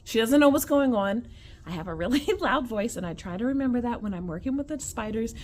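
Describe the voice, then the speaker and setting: A woman's voice: a little high, fairly quick, slight background noise. A woman chatting casually to camera at home while showing her pet tarantulas, at times dropping to a whisper. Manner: chatty and animated, addresses viewers as y'all, drops to whispers near the spiders. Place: home room with tarantula enclosures.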